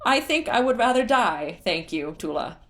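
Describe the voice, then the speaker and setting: Woman as a loud, high, frightened fish-folk guard. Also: big pitch swings, normal speed. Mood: flustered, shouting. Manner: formal, loudly calling out.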